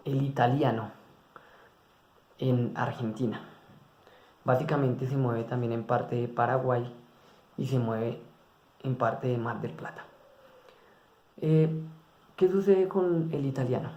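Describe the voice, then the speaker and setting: A man's voice: mid-range voice, darkish tone. A man giving a spoken class presentation, hesitating with fillers and restarting his words. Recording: echoey room.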